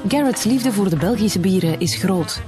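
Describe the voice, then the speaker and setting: A woman with a Flemish accent reading a scripted voice-over in an even, informative way. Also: medium pitch, normal speed, over music. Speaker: narrator.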